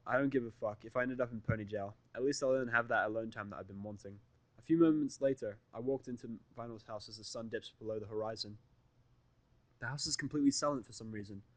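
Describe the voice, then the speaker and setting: Male amateur-fiction narrator, middle pitch, dry recording, dramatic first-person storytelling. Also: no echo.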